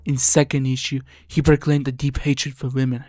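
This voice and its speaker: A man's voice: mid-range voice, flat delivery, normal speed, darkish tone, dry recording, clean studio sound. A man reading scripted video essay narration in an earnest, somber, explanatory way. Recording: music under the voice.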